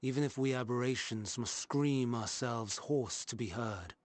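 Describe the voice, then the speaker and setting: A man playing a troubled, idealistic scholar in a video game, speaking in an earnest, halting and heartfelt way. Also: mid-range voice, background noise, natural voice. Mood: anguished. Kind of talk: video game cutscene acting, earnest formal speech.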